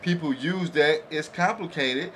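A man co-hosting a podcast, talking casually and haltingly as he circles around his point. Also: fairly high pitch, a bit bright. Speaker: podcast co-host. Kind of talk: casual group discussion. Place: small makeshift home studio, indoors. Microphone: podcast mics on boom arms. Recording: noticeable background noise.

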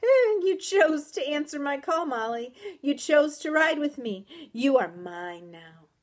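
A woman's voice: high voice, big pitch swings, a little slow, pitched above the performer's own voice. A woman playing a sly, commanding supernatural horse in an audiobook story, luring and possessive.

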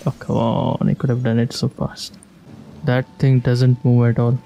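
Mellow man musing through a game. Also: medium pitch, completely flat delivery, a little slow, creaky, lo-fi sound, slight background noise. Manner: musing aloud, short reactive remarks. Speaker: gamer playing and reacting. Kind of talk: casual playthrough commentary. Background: video game music.

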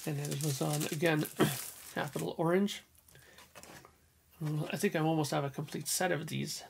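A man with a hoarse voice casually talking through his record collection in a small home room, clearing his throat often. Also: a little high, fairly even intonation, moderate pace, background noise. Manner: enthusiastic, frequent throat clearing. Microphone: headphones on, webcam or desk mic.

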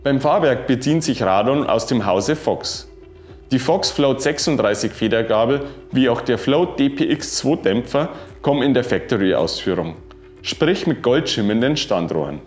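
A man in his thirties giving a scripted bike review, reading specifications in an even, informative way. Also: medium pitch, moderate pace. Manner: even, informative delivery dense with specs. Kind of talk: scripted product review narration. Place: wooden-walled workshop room, indoors. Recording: roomy sound with faint music.

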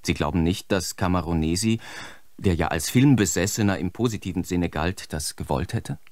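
An actor playing a polite, probing documentary presenter in a radio play, speaking formally and in measured phrases. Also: male voice, low-pitched, darkish tone, no echo, studio quality, close to an everyday voice. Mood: politely probing. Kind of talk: scripted broadcast interview in radio play.

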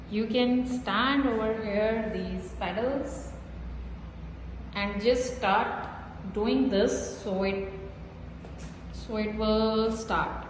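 Woman vlogger, unhurried and repetitive, phone mic in a boomy room with a long reverb tail. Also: slight background noise. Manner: simple repetitive pointing-out explanations. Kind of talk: casual gym tour vlog. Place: small indoor building gym. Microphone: phone held while filming. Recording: echoey room with hall reverb.

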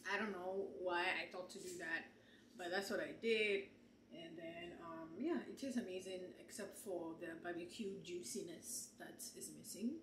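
A woman's voice: lowish voice, moderate pace, creaky. Young woman, chatty vlogger, laughs a lot, handheld camera, roomy apartment echo, faint hum behind. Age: 20s. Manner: chatty, laughs often, animated asides to viewers. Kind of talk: casual vlog chat. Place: small apartment kitchen and bedroom indoors. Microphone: handheld camera at arm's length.

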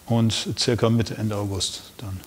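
A man's voice: on the low side, little pitch movement, unhurried, slight background noise. A man in his fifties, a guest author in a studio interview, talking in a plain, matter-of-fact way.

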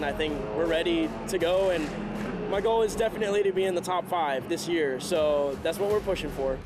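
A man in his twenties, a race car driver answering interview questions in an upbeat, enthusiastic way. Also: fairly high pitch. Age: 20s. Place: outdoors in a race paddock garage. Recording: loud music bed underneath. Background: loud background music.

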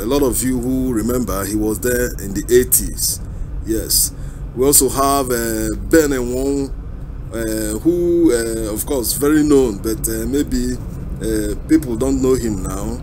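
A man with a Nigerian accent giving unscripted road-trip commentary as a vlog host, haltingly, searching for words with many uhs. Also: medium pitch. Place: inside a car driving through town. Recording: steady vehicle and road noise. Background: vehicle and street noise.

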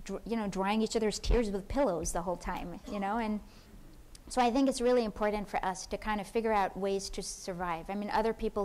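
Earnest woman speaker, halting restarts. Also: mid-range voice, a little mellow. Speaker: panel speaker. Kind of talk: conference panel answer. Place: conference hall stage.

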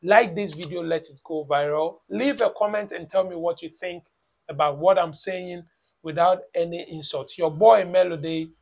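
A Ghanaian man in his thirties delivers a lecturing vlog from a small room at home. His voice sits high with a gravelly edge. He hammers each point with repetition. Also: normal speed. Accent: Ghanaian English. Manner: lecturing, emphatic, repeats phrases, mocks with "blah blah". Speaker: YouTube reaction vlogger. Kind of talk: opinion vlog commentary.

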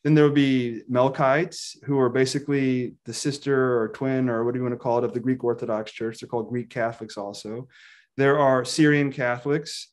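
A man in his thirties from Texas, a scholar talking as a guest on a video call, animated, laughing often and restarting his sentences. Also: medium pitch, moderate pace. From Texas, United States. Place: indoor room with plain wall. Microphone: video call webcam.

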